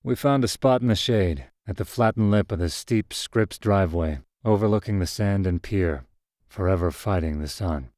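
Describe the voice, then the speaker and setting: A man reading a first-person short story aloud, in an even, measured storytelling manner. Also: low voice, dry recording, clean studio sound. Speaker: narrator.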